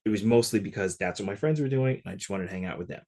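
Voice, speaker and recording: A fairly low-pitched man from Connecticut, speaking as a podcast guest. He talks loosely and conversationally, sprinkling his stories with casual fillers. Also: very fast. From Connecticut, USA. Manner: relaxed, conversational, many "like" fillers. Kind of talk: casual interview storytelling.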